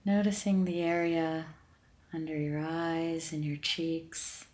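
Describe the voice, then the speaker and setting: A woman with a low-pitched voice guides a meditation. Her delivery is very slow and even, with soothing, gentle instructions and long pauses between phrases. She sounds calm and unhurried throughout. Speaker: meditation podcast host and guide.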